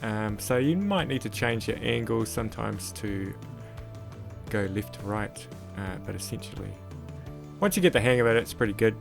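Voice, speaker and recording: A man with a croaky voice casually narrating a woodcarving tutorial in voice-over, rambling with lots of "sort of, like". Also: on the low side, expressive, moderate pace, soft background music. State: croaky voice after illness. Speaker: woodcarving channel host.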